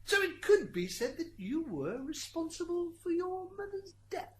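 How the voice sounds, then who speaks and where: A woman's voice: extremely high pitch, big pitch swings, normal speed, a little mellow, slight background noise. A woman playing a flustered, wheedling defence lawyer in an amateur radio drama. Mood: leading. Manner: hesitant, leading questions.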